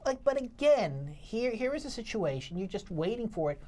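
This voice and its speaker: A man's voice: sits high, big pitch swings, moderate pace, darkish tone. A middle-aged man, casually discussing and arguing a review as a critic and co-host, in an insistent manner. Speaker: theatre critic, show co-host.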